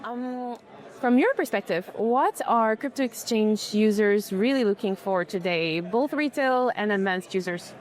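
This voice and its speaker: A woman's voice: mid-range voice, unhurried. A woman interviewing a guest at a conference booth, upbeat and friendly, working through prepared questions.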